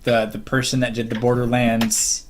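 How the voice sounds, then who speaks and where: A man co-hosting a casual group podcast, talking haltingly as he searches for words. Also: medium pitch, monotone. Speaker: co-host of a fan podcast. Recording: clear background noise and clicks.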